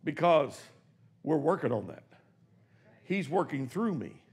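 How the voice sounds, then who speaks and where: A man in his sixties preaching a sermon in a church, swinging from hushed, quick asides to shouted exclamations. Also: fairly high pitch, big pitch swings, breathy edge. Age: 60s. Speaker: pastor. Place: church sanctuary.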